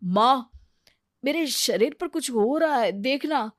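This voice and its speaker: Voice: sits high, expressive, slow, fairly bright tone, no echo, studio quality, exaggerated voice. A performer voicing a meek, hesitant young husband in a comic family cartoon, sheepishly backing down. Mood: feigned worry. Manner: hesitant, backtracking. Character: meek, sheepish husband, young Indian man, mama's boy.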